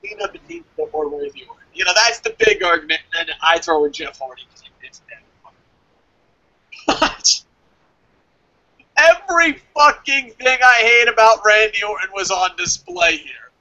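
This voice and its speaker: Animated high-voiced man ranting. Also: moderate pace, low-quality recording. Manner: ranting, exasperated, swears often. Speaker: co-host of a wrestling review show. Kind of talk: casual profane banter.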